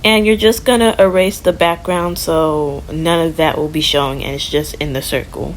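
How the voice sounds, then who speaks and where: A woman's voice: deep voice, steady tone, normal speed, rough recording. A woman giving a casual step-by-step tutorial as a voice-over. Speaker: tutorial host. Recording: audible background noise.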